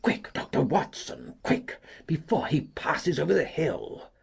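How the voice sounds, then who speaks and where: A woman voicing a cantankerous, self-important old gentleman in an audiobook, with a husky, gravelly voice and pompous, emphatic delivery. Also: low-pitched, very animated, a little slow, voice pushed down, exaggerated voice. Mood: excited. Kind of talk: audiobook character reading.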